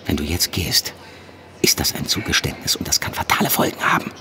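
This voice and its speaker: An actor playing a police handler in a naturalistic audio drama, reassuring yet insistent, often dropping into hushed tones. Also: male voice, a bass voice, very animated, a little fast, creaky, heavily acted. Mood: calming, insistent. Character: male police handler calming and pressuring his undercover agent. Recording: noisy outdoor ambience with vehicle sounds.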